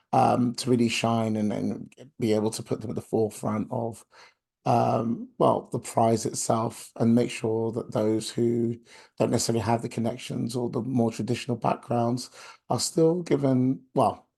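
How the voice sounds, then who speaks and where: Hoarse guy on a video call, gravelly and husky, lots of ums, friendly webinar host. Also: medium pitch, mellow. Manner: halting, many filler sounds, friendly. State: hoarse from a chest infection. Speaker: outreach coordinator. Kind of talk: webinar presentation.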